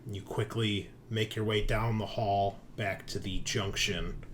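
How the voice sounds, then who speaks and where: A man's voice: on the low side, flat delivery, a little slow, noisy background. A man narrating a tabletop roleplaying game as game master, talking conversationally and directly to his players.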